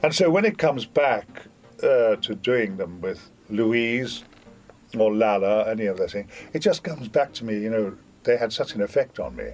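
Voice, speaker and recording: A man talks reflectively in an interview. His voice is fairly low and swings widely in pitch, with faint music behind it. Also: lively intonation, moderate pace.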